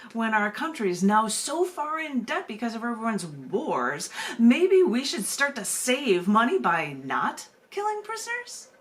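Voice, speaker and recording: An American woman speaking as a poet and open mic host, emphatic and conversational, often repeating words haltingly. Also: a little high. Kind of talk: spoken-word poetry reading and open mic hosting.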